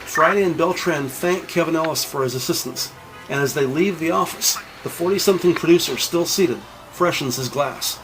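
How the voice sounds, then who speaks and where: A man's voice: on the high side, normal speed, music in the background, low-quality recording. A man narrating a scripted audio drama in a formal, descriptive reading style.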